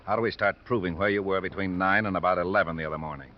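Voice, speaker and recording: An American actor playing a stern, sardonic police lieutenant questioning people in a 1950s radio drama. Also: male voice, deep voice, very fast, lightly acted. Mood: dry, pressing. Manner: sardonic, interrogating. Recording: muffled, hissy old radio recording.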